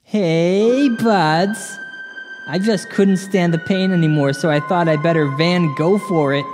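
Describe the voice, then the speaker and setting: A man's voice: high voice, slow pace, music in the background. A man playing a dopey, laid-back guy who rambles hesitantly in a comedy audio drama. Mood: goofy menace. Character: dopey, slack, slow-talking man who calls everyone bud. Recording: long reverb.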